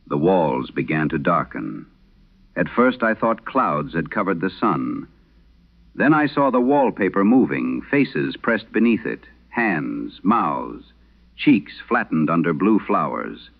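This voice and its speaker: A man performs an aging exorcist priest for a horror radio play, with a very low voice full of gravel. He confesses in grave, literary, measured phrases that swing widely in pitch, haunted and intense. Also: sing-song, narrow, tinny audio, exaggerated voice. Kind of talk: dramatic horror radio monologue.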